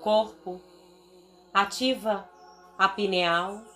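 A Brazilian woman speaks as a meditation guide with faint music behind her. Her delivery is very unhurried and soothing, with long pauses between short, deliberate phrases. Her voice sits at an ordinary female pitch. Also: fairly even intonation, fairly bright tone. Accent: Brazilian Portuguese.

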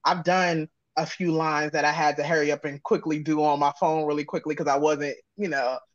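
A woman's voice: deep voice, fairly even intonation, a little fast, no room sound, muffled recording. A woman with an American accent chatting casually as an interview guest, talking informally in loose, run-on thoughts. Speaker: guest, audio drama creator and sound designer.

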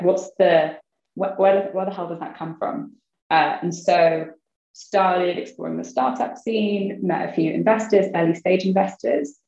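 A woman's voice: lowish voice, gravelly, mellow. A young woman chatting casually as an interview guest, filling her talk with "like" and "kind of.". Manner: chatty, many fillers like "like" and "kind of". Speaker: guest, venture investor. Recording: roomy, slightly dull sound.

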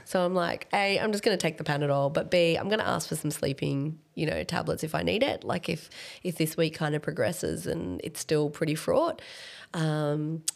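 A woman chats easily as a podcast co-host, her voice low and gravelly. She sounds croaky from coughing, and she fills her talk with likes and ums and laughs often. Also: normal speed. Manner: chatty, laughs often, many fillers. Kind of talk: casual chat.

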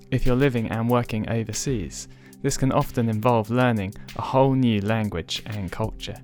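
A man hosts a podcast with a measured, polite delivery at an ordinary pitch. He opens with a scripted introduction, then moves on to questions for his guest. Faint music plays underneath. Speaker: podcast host.

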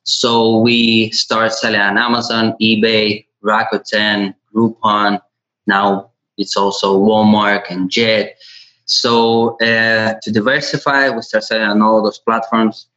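Low-voiced man. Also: level tone, slow pace, bright, forceful tone. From Latvia. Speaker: podcast guest, e-commerce entrepreneur. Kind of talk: conversational interview answers. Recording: dull, lo-fi audio.

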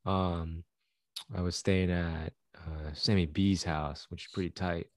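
A young man with a very low voice that sounds stuffy and congested. He talks in a laid-back, rambling way and often restarts his sentences. The recording is close and dry, with no room echo. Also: fairly even intonation, takes it slowly. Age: young adult. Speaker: podcast co-host. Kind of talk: casual chat. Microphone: video call.

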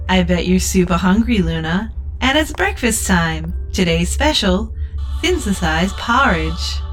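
A woman playing a customer-service obsessed spaceship AI in a sci-fi audio drama, chirpy and over-polite like a corporate announcer. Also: fairly low pitch. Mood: perky, coaxing.

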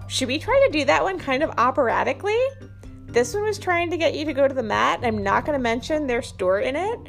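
High voiced lady doing a loose solo podcast, rambly, goofy, pokes fun at herself. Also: a bit bright. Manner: rambling, self-deprecating, playful. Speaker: podcast host. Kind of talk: casual stream-of-consciousness solo podcast.

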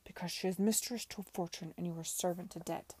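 A woman puts on a forceful gentleman of a period novel, suspicious and curt. The voice is low and husky, with a tight, commanding manner. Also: steady tone, fast talker, no echo. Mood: accusing.

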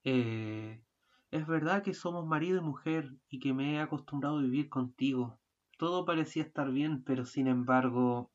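Fussy, quarrelsome husband for a radio drama, voiced low by a woman; muffled recording. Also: normal speed. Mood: earnest. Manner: bickering, rising to shouting. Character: exasperated fussy married man, husband. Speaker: student radio drama actor. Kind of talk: amateur radio play acting.

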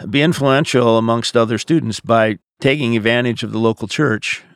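A man's voice: mid-range voice, fairly quick, gravelly, clear, resonant tone, no echo, studio quality. A middle-aged or older man, a pastor, giving conversational advice on a podcast. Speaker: pastor answering listener questions.

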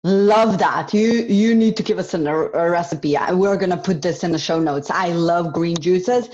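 A woman's voice: on the low side, moderate pace, darkish tone, muffled recording. A woman hosting a podcast interview, upbeat and enthusiastic. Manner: enthusiastic, laughs often. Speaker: podcast host. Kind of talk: casual interview chat.

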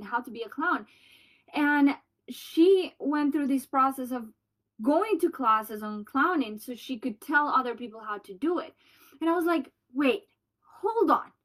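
A woman with a Colombian accent hosting a solo business podcast, bubbly and loud. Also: high-pitched. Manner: bubbly, loud and fast-talking. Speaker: podcast host.

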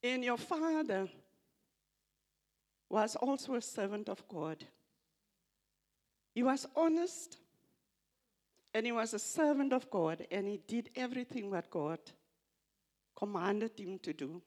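An older woman with an Afrikaans accent, English not her first language, slowly reading scripture aloud and reflecting on it at a church gathering, halting and repeating phrases. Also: fairly high pitch, lively intonation, a little mellow. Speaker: church member giving a tribute.

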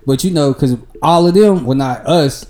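A young man in his late twenties talks in easygoing, slang-heavy chat. His tone is mellow and dark, and his voice climbs sharply whenever he acts out what he said. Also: moderate pace. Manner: animated storytelling, slangy, jumps high when quoting himself. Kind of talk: casual podcast chat.

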